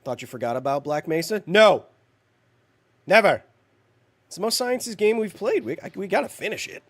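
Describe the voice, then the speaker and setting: A man's voice: high voice, animated, normal speed. Sniffly, rambling male game streamer. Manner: rambling, jokey, talks to chat. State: congested, recovering from bronchitis. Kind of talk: live game stream chat.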